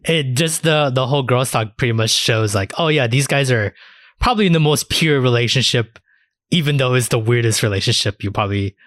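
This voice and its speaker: A man's voice: medium pitch, brisk, a bit bright, dry recording. Male podcast co-host, mid-range voice, dry close sound, informal anime chat with loose, sweary phrasing. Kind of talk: casual anime discussion.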